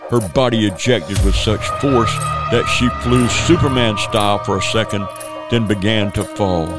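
A man's voice: fairly low pitch, moderate pace, loud music behind the voice. Man narrating a radio drama. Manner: dramatic storytelling.

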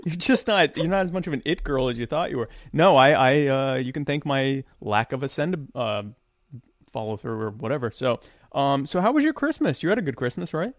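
A man hosts an online talk show, speaking at a middle pitch. He sounds easygoing and self-deprecating, with plenty of fillers. The recording is dry and close. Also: expressive, fairly quick, no echo. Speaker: show host. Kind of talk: casual conversation.

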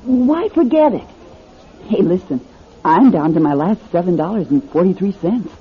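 A woman's voice: on the low side, expressive, normal speed, lightly acted. An actress playing a lonely, uncanny young fortune-teller down on her luck in a classic radio drama, plain-spoken and wistful. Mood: curious, pressing. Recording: muffled, noisy old radio drama recording.

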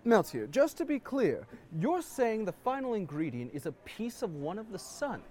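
A man's voice: sits high, very animated, normal speed, darkish tone. A man playing a haughty, touchy young nobleman with formal, pompous diction in a comedic fantasy audio drama. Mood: earnest.

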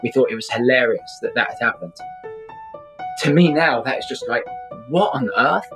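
Man, middle-aged, London accent, medium pitch, earnest, emphatic repetition, conversational storytelling. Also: a little fast, over music. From Scotland, grew up in London. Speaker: podcast guest. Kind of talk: personal storytelling in an interview.

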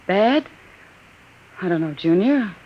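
A woman's voice: medium pitch, lively intonation, slow pace, dull audio. A woman playing an anxious, wary gangster's moll in an old-time radio drama, hesitant and fearful, on a vintage recording. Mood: wary, placating. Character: jittery, fearful female moll, torn and wary.

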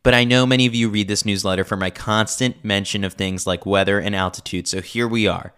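Low-voiced American man reading a sports recap. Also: steady tone, fairly quick, a bit bright, smooth. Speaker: podcast host reading a newsletter aloud. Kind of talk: scripted narration, sports recap.

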